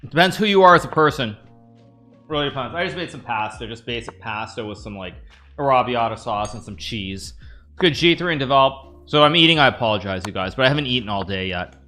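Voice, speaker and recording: A man's voice: mid-range voice, animated, fast, pushed and bright, soft background music. An American man in his thirties, streaming a chess game, thinking aloud and answering chat questions in a casual, rambling way. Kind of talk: casual live-stream commentary.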